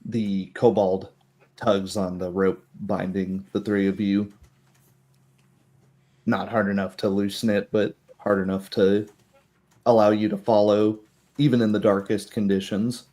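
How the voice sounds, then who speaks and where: A man narrating a tabletop roleplay as game master in a measured, descriptive manner. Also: fairly low pitch, level tone, mellow. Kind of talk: slow scripted-sounding tabletop game narration.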